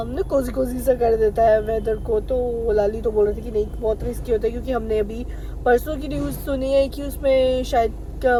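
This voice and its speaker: A young woman, hoarse from a cold, chatting casually to her vlog camera while she waits in a car. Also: fairly high pitch, warm tone, slight background noise, rough recording. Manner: chatty, rambling, mixes in English words. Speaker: vlogger. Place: sitting in a parked car.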